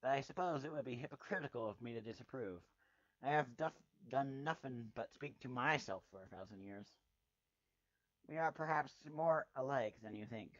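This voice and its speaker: A man's voice: mid-range voice, normal speed, no room sound, lo-fi sound, lightly acted. Man voicing a cold, haughty villainous mare. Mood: cold, musing.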